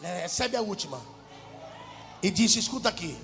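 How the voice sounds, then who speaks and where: A Brazilian Portuguese-speaking male pastor preaching fervently, swinging between shouted lines and quiet asides in a large church. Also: high voice, animated, normal speed, creaky. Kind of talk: preaching a sermon. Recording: hall reverb with faint music.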